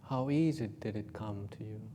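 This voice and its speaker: Finnish guy, monk leading meditation, warm dark voice, casual and a bit jokey, slows down soft when guiding. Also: lowish voice, a little hiss or hum. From Finland. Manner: informal and gently joking, with soft, slow guiding phrases. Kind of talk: guided meditation talk.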